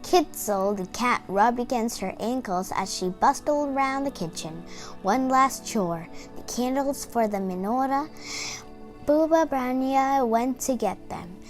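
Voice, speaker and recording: A woman's voice: fairly high pitch, animated, unhurried, faint music behind. A woman storyteller reading a children's picture book aloud as a bedtime story.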